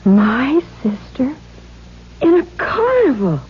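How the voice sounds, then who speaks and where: An actress playing a timid, beaten-down younger sister in a 1970s radio drama, hesitant and stammering. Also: female voice, high-pitched, big pitch swings, slow pace, soft background music, heavily acted. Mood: anxious, bewildered. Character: timid, beaten-down younger sister and dutiful daughter, around thirty. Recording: dull vintage radio recording.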